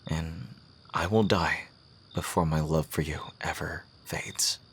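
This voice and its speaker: A man playing a devoted fantasy rival confessing love in a romantic audio roleplay, speaking in an earnest, courtly, poetic way with soft hesitant pauses and a husky, breathy voice. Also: very deep voice, heavily acted. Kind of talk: romantic ASMR audio roleplay, intimate acting.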